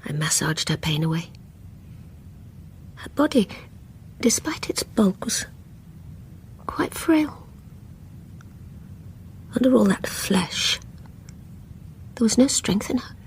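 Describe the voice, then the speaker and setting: A woman's voice: mid-range voice, sing-song, takes it slowly, long pauses. An English actress playing a guilt-ridden, obsessive woman in a radio drama, intense and confessional in dialogue and intimate narration. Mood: brooding. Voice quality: breathy, whispery.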